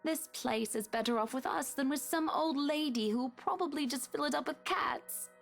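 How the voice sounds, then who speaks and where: An actress playing a wealthy married woman in a visual novel, condescending and pushy, with a saccharine, drawn-out delivery. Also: sits high, vocal fry, soft background music.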